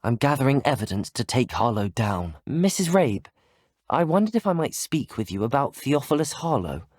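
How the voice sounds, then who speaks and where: Courteous young British hero, lively intonation. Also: male voice, medium pitch, normal speed, dry recording, studio quality. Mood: polite, earnest. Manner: courteous, articulate, earnest. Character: polite, earnest, determined teenage male student wizard, a game hero. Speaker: video game player character. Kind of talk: scripted video game dialogue.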